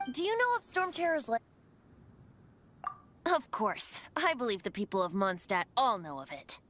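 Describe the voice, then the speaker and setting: A woman's voice: on the high side, slight background noise. A woman voicing a youthful, playful boy bard in a video game, with a theatrical and slightly coy manner. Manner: theatrical, lightly coy storyteller. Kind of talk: animated video game voice acting.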